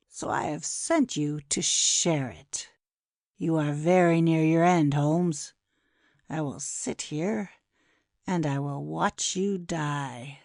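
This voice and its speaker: A woman's voice: low voice, dry recording, clean studio sound. A woman playing a cruel male poisoner in an audiobook drama, taunting and menacing as she gloats.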